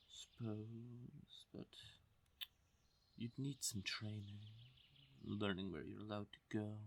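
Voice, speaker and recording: A man's voice: on the low side, creaky, husky, exaggerated voice. A man voicing a haughty, teasing fae king of the forest in a fantasy audio roleplay, talking down to the listener with theatrical menace and dropping often into a whisper. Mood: musing, teasing. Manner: condescending, slow purring menace, theatrical.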